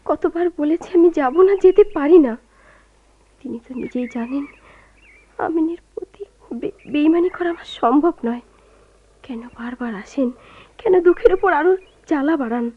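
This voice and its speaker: A woman's voice: sits high, normal speed, long pauses, close to an everyday voice. An actress playing a sorrowful, loyal young woman in a Bengali radio drama, with plaintive, emotional delivery. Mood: anguished. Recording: tinny, noisy lo-fi radio recording.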